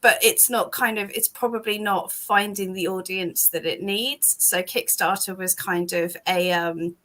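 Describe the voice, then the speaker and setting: A British woman talking casually about her comic on a call, rambling with lots of ums and restarts, a bit hoarse from losing her voice. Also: medium pitch, fairly even intonation, a little slow, bright, forceful tone. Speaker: comic creator guest. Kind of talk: casual chat on a video call.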